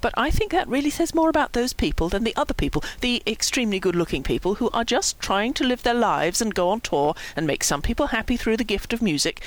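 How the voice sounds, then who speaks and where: A woman voicing a dignified, exasperated young man in a story, quiet, measured and pompous. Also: a little high, big pitch swings, low-quality recording.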